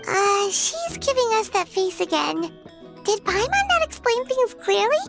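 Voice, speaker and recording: A tiny floating fairy girl with an extremely high, squeaky voice. The delivery is perky and earnest, swinging up and down like an anime game sidekick. Music plays clearly behind the voice. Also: measured pace, exaggerated voice. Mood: worried. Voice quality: squeaky, childlike. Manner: perky, expressive, childlike delivery. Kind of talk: anime-style video game voice acting.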